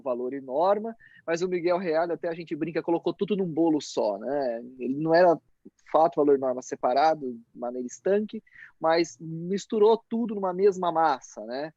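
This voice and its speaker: A man's voice: fairly high pitch, animated, brisk, darkish tone, dry recording, muffled recording. A man mediating a live academic debate, asking long rambling questions full of "né?" tags. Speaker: mediator and law professor. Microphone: video call.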